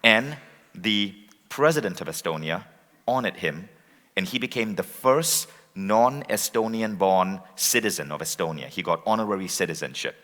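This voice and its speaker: A man's voice: deep voice, animated, moderate pace, a bit bright. A man hosting on stage, introducing and interviewing a guest with eager enthusiasm. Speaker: event host and interviewer. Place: large event hall on stage. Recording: long hall reverb.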